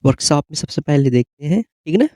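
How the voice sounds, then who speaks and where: A man with a fairly high, slightly mellow-dark voice explains study material as an exam coach. He talks informally and keeps adding short check-in questions. The sound is very dry, with no room echo. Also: lively intonation, fairly quick. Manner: repeated check-in tags like "okay?". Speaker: exam-prep teacher.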